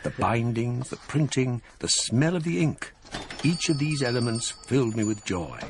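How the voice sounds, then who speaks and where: An actor narrating as a scholar recalling his youth, measured, wry and reflective. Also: medium pitch, animated, background noise, close to an everyday voice. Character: older male scholar narrating his past. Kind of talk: radio drama narration.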